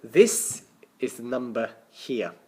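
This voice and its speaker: A man's voice: mid-range voice, expressive, slow, a little mellow, pitched below the performer's own voice, rough recording. A man voicing a sardonic, exasperated boy, the younger brother in a storybook, with dry, teasing emphasis.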